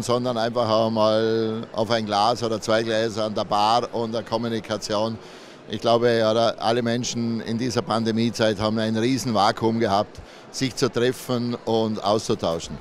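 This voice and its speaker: A man talking in a relaxed, conversational way in an event interview. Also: mid-range voice, slight background noise.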